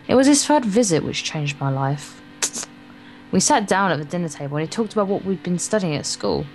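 A woman's voice: on the low side, lively intonation, brisk, darkish tone, rough recording. A woman reading visual novel narration aloud as a let's play co-host, stumbling and correcting herself as she goes. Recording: guitar music behind. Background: game's guitar music.

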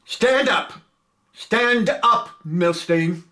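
A man's voice: high voice, extremely slow, sharp tone, pitched above the performer's own voice, heavily acted. A man reading a play aloud, voicing a firm, commanding male squadron chief. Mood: angry.

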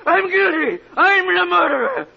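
A shrill, frantic confessing prisoner. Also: male voice, lively intonation, unhurried, gravelly, a crowd in the background, exaggerated voice. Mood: hysterical, desperate. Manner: hysterical, repetitive. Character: frantic, broken man babbling a false confession. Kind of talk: old-time radio drama acting. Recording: muffled old radio, loud commotion behind.

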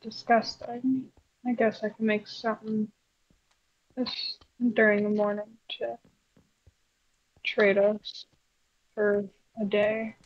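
A woman's voice: medium pitch, little pitch movement, slow pace, pauses often, no room sound. A slightly muffled recording of a woman playing a weary female puppet in a game roleplay. She is dry, terse and stubborn, and sounds thoroughly unimpressed. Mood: reluctant, stern.

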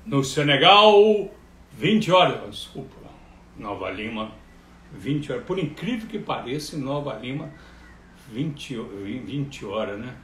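A man's voice: medium pitch, big pitch swings, slow, a bit bright, slight background noise. A middle-aged man hosting a live interview from home, chatty and joking and stumbling over his words. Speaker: host. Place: home room, indoors. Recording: muffled lo-fi live stream.

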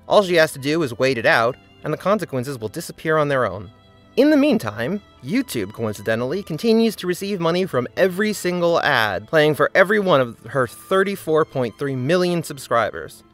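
A man's voice: fairly high pitch, big pitch swings, fairly quick, fairly bright tone, soft background music. A man narrating a scripted video essay, with earnest, critical commentary.

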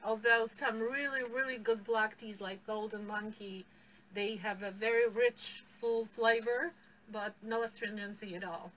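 A woman's voice: a little high, steady tone, unhurried, fairly bright tone, distant mic, slight background noise, poor audio. A middle-aged woman with a Polish accent teaching a live tea tasting class in a tea shop, picked up by a camera across the table, explaining and laughing often. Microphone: far camera across table.

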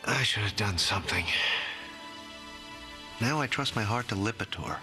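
A man's voice: lowish voice, expressive, normal speed, music in the background. A man in his fifties giving a reflective, regretful testimonial in a commercial. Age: 50s.

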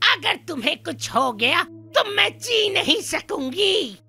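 A woman voicing a scheming, possessive, falsely sweet witch in a children's fairy tale, shrill and theatrical. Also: sing-song, gravelly, sharp tone, full character voice. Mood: cloying, warning. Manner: theatrical, drawn-out calls.

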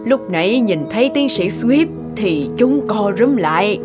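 Woman as a courteous cartoon lady, mid-pitched. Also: animated, a little slow, natural voice. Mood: friendly. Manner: polite. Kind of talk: animated cartoon dialogue. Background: music.

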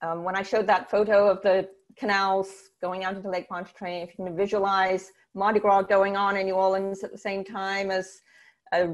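A woman in her sixties explaining informally as a panelist on a video call, often pausing mid-sentence. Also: medium pitch, muffled recording. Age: 60s. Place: room at home, on a video call. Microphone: wired earphone mic.

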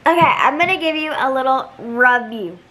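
High, bright young American teen girl vlogger. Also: animated, normal speed, slight background noise. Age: young teenager. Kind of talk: casual cooking vlog. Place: home kitchen, indoors. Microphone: camera at arm's length.

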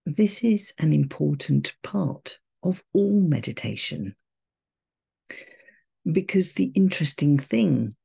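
Lady doing a guided meditation, real slow and mellow, soft breathy voice, calm n soothing. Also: sits low. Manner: slow, gentle, measured with long pauses.

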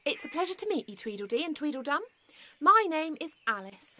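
A woman's voice: high voice, sing-song, a little fast, sharp tone, exaggerated voice. A woman playing a curious, polite young girl in an audio drama, wondering and exclaiming as she talks. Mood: polite, puzzled.

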